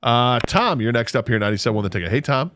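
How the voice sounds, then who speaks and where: A man's voice: mid-range voice, animated, racing, fairly bright tone, slight background noise. A man hosting a sports talk radio show, opinionated and emphatic, laughing easily.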